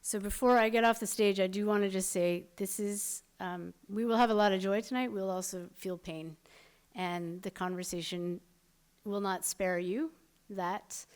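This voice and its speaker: A woman's voice: mid-range voice, fairly even intonation, moderate pace, clean tone. A woman moderating a live event, introducing the speakers in an upbeat, conversational way. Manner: upbeat, conversational, some fillers.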